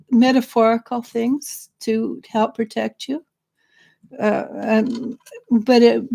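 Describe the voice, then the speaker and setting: Middle-aged woman psychic host, gravelly, mellow, hoarse from losing her voice, rambles and restarts. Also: a little high, a little hiss or hum. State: losing her voice, clearing throat. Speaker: psychic reader and show host. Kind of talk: live-stream spiritual advice chat.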